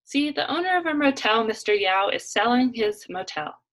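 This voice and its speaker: Woman reading as anxious mom, expressive and insistent. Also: a little high, moderate pace, voice pushed up. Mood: eager, persuading. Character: anxious, proud immigrant mother putting on a brave front.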